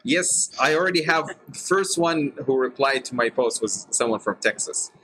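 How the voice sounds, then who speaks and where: A man hosting a casual group chat about role-playing, chatty and quick to laugh. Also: mid-range voice, lively intonation, bright, forceful tone, slight background noise, poor audio. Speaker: podcast host.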